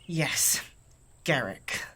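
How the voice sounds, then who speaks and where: An actor playing a dignified exiled shifter who speaks in formal, measured, old-fashioned phrases. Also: male voice, sharp tone. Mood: solemn.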